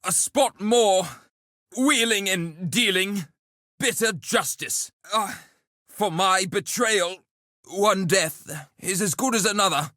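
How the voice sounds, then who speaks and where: An actor playing a cocky, loquacious duelist and schemer in a video game, with a wry, flippant, theatrical delivery. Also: male voice, sits high, slow, pushed and bright, no echo, studio quality, exaggerated voice. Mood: cocky, triumphant, then rueful, resigned.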